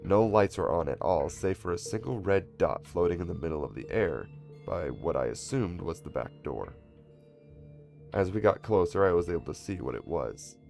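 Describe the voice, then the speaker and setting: A man with a gravelly voice, reading a scripted first-person horror story in a dramatic way. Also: low-pitched, lively intonation, normal speed, darkish tone, faint music behind.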